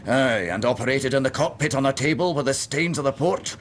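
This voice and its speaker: An actor playing a hardened, scornful navy ship's doctor in a period radio drama, blustering and declamatory. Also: male voice, mid-range voice, fairly quick, a little hiss or hum, natural voice. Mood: scornful, grim. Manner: blustering, declamatory, emphatic repetition.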